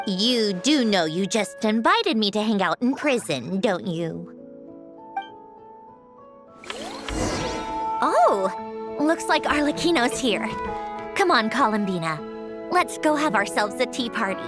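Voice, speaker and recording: A woman playing a proud, prickly young woman in a video game, haughty and easily flustered, with a hidden soft side. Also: fairly high pitch, big pitch swings, a little slow, over music. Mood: flustered, dry.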